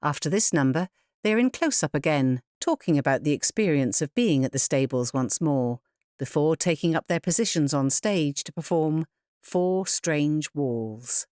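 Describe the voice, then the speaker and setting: A woman with a low voice narrates audio description from a script. Her delivery is measured and clearly enunciated, in a dry, close recording. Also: expressive, darkish tone, no echo.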